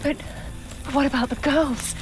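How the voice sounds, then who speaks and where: High-voiced, frightened peasant girl. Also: fairly even intonation, a little slow, poor audio. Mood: frightened, pleading. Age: young adult. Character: terrified, pleading young peasant village woman. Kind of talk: video game cutscene acting.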